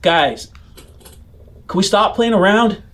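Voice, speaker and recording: A man's voice: high voice, expressive, slow pace, fairly bright tone, voice pushed up, full character voice. A man voicing a whiny, scrawny, cowardly companion in a tabletop game, complaining defensively. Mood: exasperated.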